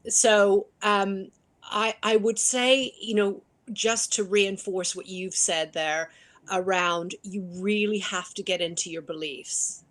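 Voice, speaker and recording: A woman coach speaking in an interview over a video call, animated and laughing, with many restarts. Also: mid-range voice, takes it slowly. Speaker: business coach. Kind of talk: interview conversation.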